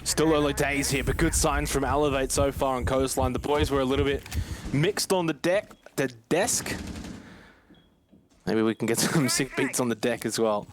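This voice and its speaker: A man's voice: medium pitch, moderate pace. A man giving live, analytical esports commentary in a conversational way, often addressing the audience directly. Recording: clear game noise behind the voice.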